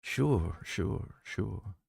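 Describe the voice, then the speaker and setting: A man's voice: on the low side, animated, extremely slow, creaky, a little mellow, husky, dry recording, studio quality, heavily acted. A man voicing a groveling, hateful stableman in an audiobook, obsequious and uneasy in manner. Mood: cowed.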